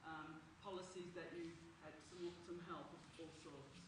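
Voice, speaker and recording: A woman with an Australian accent whose first language is Dutch, moderating a panel in a brisk, storytelling way. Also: deep voice, steady tone. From southern Netherlands. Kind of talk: panel discussion. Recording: echoey room, distant mic, noisy.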